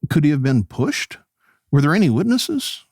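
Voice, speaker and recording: An actor playing a polite, chatty old-time radio host, with an animated announcer's way of talking. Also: male voice, fairly high pitch, moderate pace, no echo, clean studio sound. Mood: concerned. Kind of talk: radio play interview patter.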